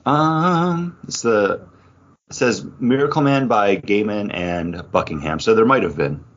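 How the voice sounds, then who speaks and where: A man's voice: on the low side, lively intonation, measured pace, slight background noise. A man hosting a podcast, chatting casually and informally in an off-the-cuff way. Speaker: podcast host. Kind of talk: casual chat, reading out award nominees. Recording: dull, lo-fi podcast audio.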